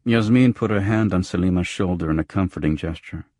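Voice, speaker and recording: A man reading a first-person detective novel as a scripted audiobook narration, with a husky voice. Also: low voice, a little fast, dark tone, dry recording, clean studio sound.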